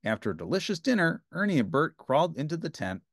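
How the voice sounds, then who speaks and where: A man narrating a children's storybook aloud in an expressive, scripted read-aloud style. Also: fairly high pitch, fast talker, no echo, clean studio sound.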